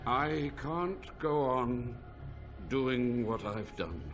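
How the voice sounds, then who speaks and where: An actor playing an ancient male mage, a proud and unrepentant scholar, speaking slowly and gravely in a video game cutscene. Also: medium pitch, over music. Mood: weary. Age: older. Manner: slow, grave, measured delivery. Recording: game audio with steady ambient noise.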